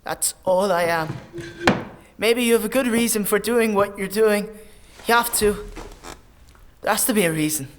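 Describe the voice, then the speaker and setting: Guy playing scared earnest farm boy, pretty high voice, hesitant and pleading, fantasy radio play. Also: moderate pace, pauses often, slight background noise, lightly acted. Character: anxious, earnest young farmer, naive and pleading. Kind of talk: audio drama acting.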